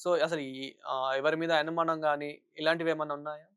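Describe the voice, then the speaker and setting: A man's voice: fairly high pitch, level tone, normal speed, fairly bright tone, clear, resonant tone, no room sound, clean studio sound. A man working as a news interviewer, putting long, wordy questions in a phone interview.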